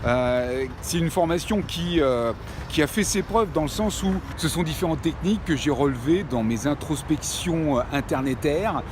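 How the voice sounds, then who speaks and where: Man, 50s, casual vlogger, slightly high voice, hesitant with fillers, traffic noise behind. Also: animated, moderate pace. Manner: hesitant, many "euh" fillers, repeats himself. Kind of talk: casual talking-head vlog.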